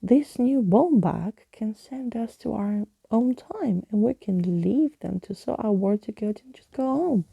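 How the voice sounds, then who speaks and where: A woman puts on a sulky female storybook creature with a sarcastic, impatient edge. The voice lifts at the start of complaints yet stays breathy and dark, with plenty of creak. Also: on the high side, lively intonation, a little slow, few pauses, dry recording, dull audio, pitched above the performer's own voice, full character voice. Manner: tutting, sarcastic.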